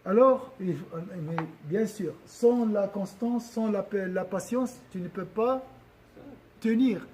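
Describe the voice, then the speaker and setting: Male religious teacher, high-pitched but mellow in tone, talking to a room, checks in with listeners, loops back on his words. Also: takes it slowly, a little hiss or hum, low-quality recording. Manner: questions the audience often, repeats and restarts phrases. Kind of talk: religious lesson to a gathering.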